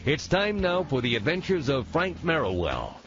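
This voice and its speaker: A man's voice: fairly high pitch, big pitch swings, normal speed, highs cut off. A man hosting a radio show with scripted promotional narration. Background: music.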